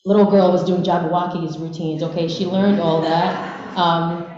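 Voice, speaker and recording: Echoey, mellow-voiced young Filipino woman. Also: fairly low pitch, little pitch movement, moderate pace. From Philippines. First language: Bisaya. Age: 20s. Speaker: panelist, dancer. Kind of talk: casual personal storytelling at a panel. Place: large echoey room at a live panel event. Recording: very echoey hall, slightly muffled.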